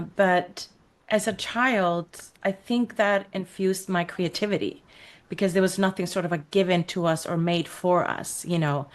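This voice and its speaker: A woman from Iceland with a notably low, deep voice talks through her childhood in a relaxed interview. She reflects as she goes, pausing on fillers and telling stories. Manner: reflective, storytelling, frequent fillers and laughs. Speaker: podcast guest, creative coach. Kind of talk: casual interview conversation.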